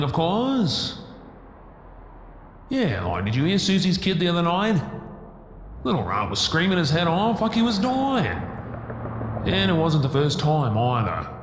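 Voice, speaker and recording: A man playing a sleazy, greedy landlord in an audio roleplay, slangy and oily as he wheedles and hectors. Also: fairly high pitch. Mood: pushy, chummy. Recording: echoey hall with faint hiss.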